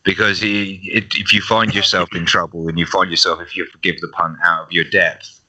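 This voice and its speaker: A man's voice: deep voice, flat delivery, brisk, pushed and bright, poor audio. A man in a casual live-stream chat, explaining things thoughtfully with some hesitations.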